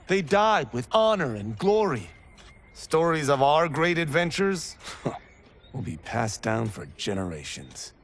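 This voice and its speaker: An actor playing a restless young farmer who longs for adventure, eager and wistful, in a cinematic video game style. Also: male voice, fairly high pitch, big pitch swings, slow, exaggerated voice. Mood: eager, boastful.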